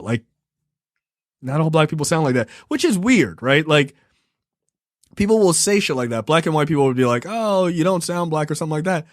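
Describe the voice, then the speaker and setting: A man's voice: mid-range voice, dry recording, studio quality. A man from Baltimore co-hosting a casual podcast chat, talking in an emphatic, chatty way and laughing easily. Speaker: podcast co-host.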